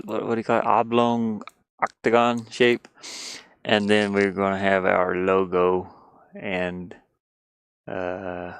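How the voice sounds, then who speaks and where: A man chatting casually on a livestream, rambling and halting, often putting down his own efforts. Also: lowish voice, clear, resonant tone, dry recording. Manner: halting, rambling, self-deprecating. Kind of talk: casual livestream chat while sketching.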